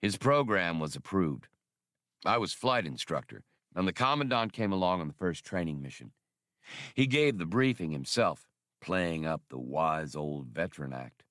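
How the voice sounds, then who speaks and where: Man reading as a wry, low-voiced veteran officer. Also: expressive, moderate pace, fairly bright tone, no echo, studio quality. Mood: wry, reminiscing. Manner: wry, mild storytelling. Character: seasoned, easygoing male ex-officer telling old war stories.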